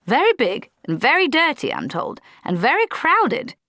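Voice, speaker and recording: A woman's voice: sits high, wildly swooping pitch, moderate pace, sharp tone, no echo, studio quality, voice pushed up, full character voice. A woman reading aloud, voicing a polite, nervous young male army lieutenant in a storybook. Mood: fretful.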